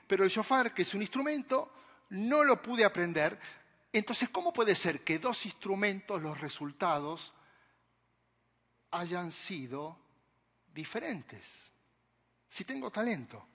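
An Argentine man, a pastor, preaches in a high, bright voice that leaps up and down with lively energy. He speaks slowly and deliberately and turns often to his listeners. The sound carries a long reverb, as in a large hall. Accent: Argentine Spanish. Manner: animated, storytelling preacher who addresses the congregation directly. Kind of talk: sermon.

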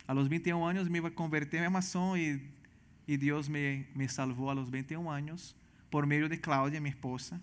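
A man from Venezuela with a Brazilian Portuguese accent, earnestly telling his testimony as a missionary guest, halting and restarting often. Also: on the high side, fairly even intonation. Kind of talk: personal testimony in church interview.

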